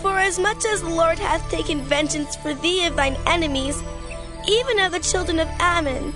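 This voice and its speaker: A sorrowful, obedient young maiden from an ancient scripture drama , played by a woman. The voice is extremely high, earnest and submissive, with music clearly heard behind it. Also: moderate pace. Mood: resigned, devoted. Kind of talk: dramatized scripture acting, archaic English.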